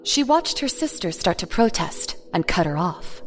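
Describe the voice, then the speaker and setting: A woman's voice: lowish voice, very animated, normal speed, soft background music. A woman reading scripted narration for an audio drama.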